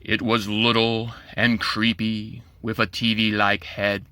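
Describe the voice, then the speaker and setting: A man's voice: on the low side, little pitch movement, slow pace, sharp tone, clean tone, no echo. A man narrating a rhyming children's storybook in a theatrical, playful way.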